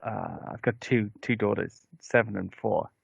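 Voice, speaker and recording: A man's voice: on the low side, moderate pace, vocal fry, slightly rough, no echo. A man in his thirties hosting a podcast interview from home on a headset mic, talking casually with many ums and restarts. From Wales. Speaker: podcast host. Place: home room with bookshelves. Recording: dry, slightly dull headset audio.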